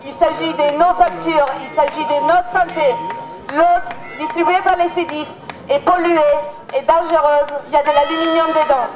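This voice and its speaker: A woman's voice: sits high, fairly even intonation, normal speed, over music. A woman protester declaiming an activist speech to officials at a public meeting. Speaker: protester addressing elected officials. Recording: loud crowd in public meeting.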